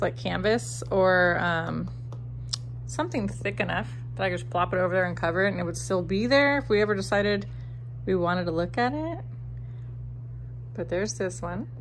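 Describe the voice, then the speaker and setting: Home-tour vlogger, American woman, thirties, medium pitch, informal and meandering, phone recording with slight room noise. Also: a bit bright. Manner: informal, rambling, musing aloud. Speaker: vlogger showing features of their house. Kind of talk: casual home-tour vlog chat. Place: indoors, rooms of a house. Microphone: handheld phone at arm's length.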